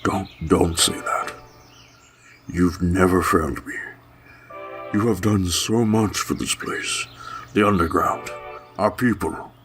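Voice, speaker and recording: A man playing a gentle goat-monster king in a dramatic reading, fatherly and grieving, pleading with trembling emotion. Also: low-pitched, steady tone, slow, long pauses, faint music behind, exaggerated voice. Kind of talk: dramatic fan-fiction reading.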